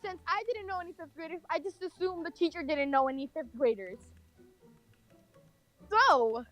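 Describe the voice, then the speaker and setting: Casting: woman, very high pitch, giggly and sweary casual storyteller, self-mocking, music underneath. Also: lively intonation, normal speed, a bit bright. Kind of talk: casual humorous personal anecdote. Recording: music behind the voice. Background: background music.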